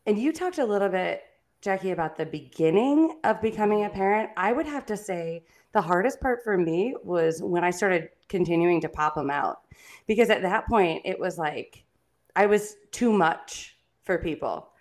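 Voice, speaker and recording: A woman guest and licensed clinical social worker, with a deep booming voice, talking candidly and bluntly. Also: animated, normal speed. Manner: candid, laughs loudly, blunt. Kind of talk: casual podcast conversation.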